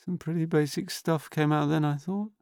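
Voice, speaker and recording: A man narrating a storybook plays a self-absorbed man in therapy. His voice is pitched up and soft-edged, with an affected, languid air of self-importance. The sound is close and dry. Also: steady tone, normal speed, clear, resonant tone, no echo, lightly acted. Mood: self-absorbed, musing. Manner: affected, self-regarding, raised above his normal tone.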